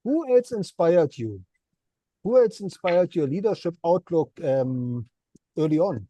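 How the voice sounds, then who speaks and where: A man's voice: a little high, big pitch swings, unhurried, mellow, dry recording, muffled recording. A man hosting an interview, asking his guest polite, formally worded questions. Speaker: interview host.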